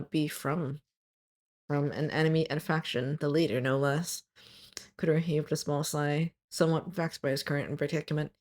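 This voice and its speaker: A woman streamer reading her own fiction aloud, stopping often to fix her wording. Her voice is going hoarse. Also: low voice. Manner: stops often to correct her wording, laughs at slips. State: losing her voice.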